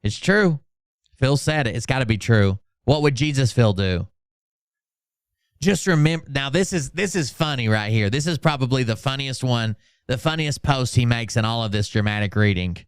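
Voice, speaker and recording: A man's voice: mid-range voice, expressive, moderate pace, clear, resonant tone, dry recording, studio quality. A man hosting a livestream, giving mocking, sarcastic commentary on social media posts in casual, sweary chat. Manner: sarcastic, sweary, mock-dramatic when reading posts out. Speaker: livestream host.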